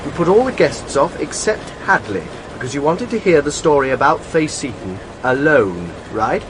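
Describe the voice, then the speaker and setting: An actor with a British accent playing a brisk, inquisitive younger man, gentlemanly and teasingly persistent, in a radio drama. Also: a little high, sing-song, noisy background. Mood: probing. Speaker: actor in a radio detective play.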